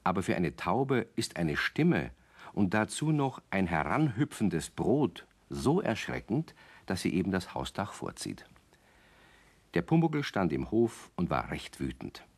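A low-voiced male storyteller narrates a children's radio play. His delivery is very melodic and gently wry, speaking straight to young listeners in an avuncular way. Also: big pitch swings, unhurried, slightly rough, exaggerated voice.

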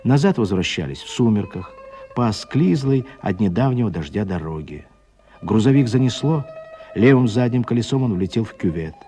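A man narrating a literary radio drama as a measured, expressive storyteller. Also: fairly low pitch, faint music behind.

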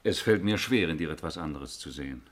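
Devoted Roman officer in vintage radio drama, deep voice, respectful and sincere toward his master. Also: lively intonation, a little fast. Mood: earnest deference. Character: loyal, deferential Roman palace guard commander. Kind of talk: 1950s German radio drama acting.

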